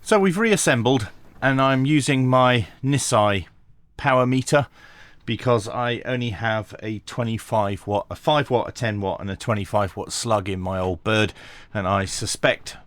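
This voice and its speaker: A man giving a hands-on tech tutorial, talking through each step methodically with pauses and dry asides. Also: mid-range voice, a bit bright, no room sound. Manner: methodical, thinking aloud with frequent pauses. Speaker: radio reviewer.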